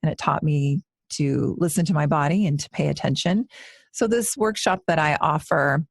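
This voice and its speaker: A woman's voice: sits low, no room sound, clean studio sound. A woman in her forties, a yoga teacher co-hosting a podcast, talking in an earnest, reflective way with frequent restarts. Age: 40s. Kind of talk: casual podcast conversation.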